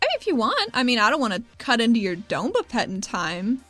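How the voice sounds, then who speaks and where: A woman's voice: on the high side, huge pitch swings, brisk, a bit bright, soft background music, heavily acted. A woman playing an awkward, casual female adventurer who tries to seem cool in a tabletop game. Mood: awkward, dry.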